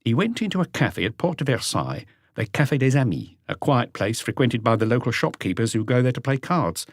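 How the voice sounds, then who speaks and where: A man's voice: medium pitch, big pitch swings, brisk, no echo, clean studio sound. A man voicing an eager, dutiful police inspector who reports to his chief in earnest detail, as an audiobook character.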